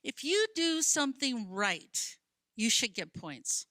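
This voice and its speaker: A woman's voice: on the high side, sing-song, slow pace, pushed and bright, dry recording, studio quality, full character voice. An actress in a radio play playing a skeptical, stubborn, gloomy woman who questions and argues. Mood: aggrieved. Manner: querying, argumentative.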